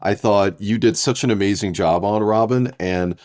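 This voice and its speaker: A man, a podcast writer and co-creator, talking in a thoughtful, halting way, often restarting his sentences. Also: fairly low pitch, darkish tone, no echo, studio quality. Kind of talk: casual podcast intro chat.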